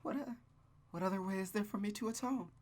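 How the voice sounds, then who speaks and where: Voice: mid-range voice, fast talker, a little mellow, voice pushed up, lightly acted. A player voicing a frail, apologetic young girl with a stammering, timid manner in a visual novel read-through. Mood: timid, resolved.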